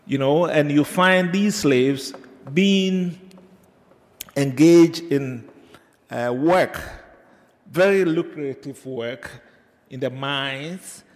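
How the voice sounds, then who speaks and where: A man from Ghana with a Ghanaian accent, giving an unscripted academic lecture to teachers, hesitant with many filler pauses and digressions. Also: on the high side. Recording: reverberant lecture hall.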